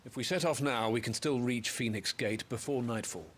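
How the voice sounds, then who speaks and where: An actor playing a wary, loyal soldier in a fantasy video game, measured and deferential. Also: male voice, medium pitch, fairly bright tone, slight background noise, close to an everyday voice. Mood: concerned. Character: wary, loyal soldier serving a young lord.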